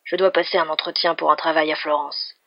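A stubborn, guarded man with an injury in a romance audiobook, as a narrator acts him out, grumbling and wry. The voice is creaky and medium in pitch, on a dull lo-fi recording. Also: level tone, fairly quick, natural voice. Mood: grumbling, dry. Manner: reluctant, grumbling, dry.

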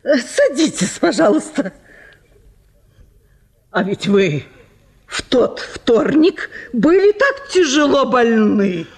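An actress playing a gracious, easily flattered general's wife in a radio play, speaking with coy, genteel politeness. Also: female voice, a little high, slow, long pauses, husky, heavily acted. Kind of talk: radio play acting of classic comic story.

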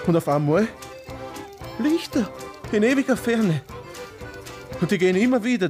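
An actor playing a worn former partisan haunted by war, speaking in brooding, broken phrases in a poetic radio drama. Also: male voice, sits high.

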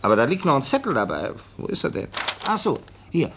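A man playing a police official in a radio play, matter-of-fact and explanatory, with a husky voice. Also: medium pitch, huge pitch swings, noisy background. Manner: matter-of-fact, explanatory, slow and deliberate when reading aloud. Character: brisk male police official reporting on a case.

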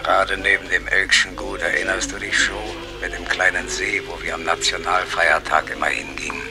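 Deep, gravelly, placid dead townsman. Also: little pitch movement, normal speed, over music. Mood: placid, reminiscing. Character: dead small-town husband, slow, plain-spoken and placid. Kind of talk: theatrical radio drama acting.

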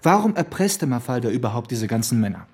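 A man's voice: medium pitch, big pitch swings, fast, a little mellow, husky. A male actor playing a wry, bookish police detective in a German radio crime drama, with a sardonic, laconic manner. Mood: analytical.

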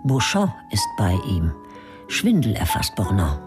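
A woman's voice: rumbling low, sing-song, unhurried, music in the background. A woman narrating a radio drama in clipped, fragmentary present-tense phrases.

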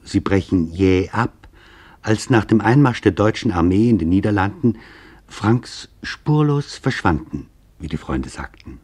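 Husky, solemn male documentary narrator. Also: lowish voice, animated, a little mellow. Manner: solemn, deliberate, formal. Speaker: narrator presenting a radio documentary. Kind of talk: scripted literary documentary narration.

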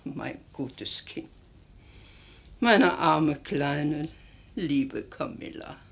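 An actress playing an anxious, loving middle-aged mother in a radio play, soft, pleading and tender. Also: deep voice, extremely slow, slightly rough, full character voice. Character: anxious, loving, careworn mother of a poor family. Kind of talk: German radio play acting.

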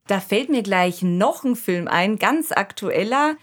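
A woman's voice: medium pitch, lively intonation, unhurried. A woman co-hosting a podcast, talking enthusiastically and colloquially in a conversational discussion. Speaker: podcast co-host. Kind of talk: conversational discussion of science fiction.

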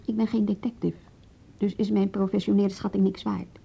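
A woman's voice: mid-range voice, flat delivery, gravelly, breathy edge. A woman acting in a radio drama as a frank, level-headed policewoman, speaking carefully and matter-of-factly. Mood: firm, level. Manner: careful, deliberate, matter-of-fact. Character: policewoman, level-headed, frank, guarded adviser to a young suspect. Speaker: police colleague. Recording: dull radio play recording.

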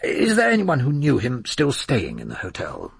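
Husky, gravelly, shrewd British police sergeant. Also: male voice, mid-range voice, wildly swooping pitch, no room sound, full character voice. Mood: gently probing. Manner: unhurried, folksy, gently persistent questioning. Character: shrewd, genial, casually probing male police sergeant. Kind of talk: British radio drama acting.

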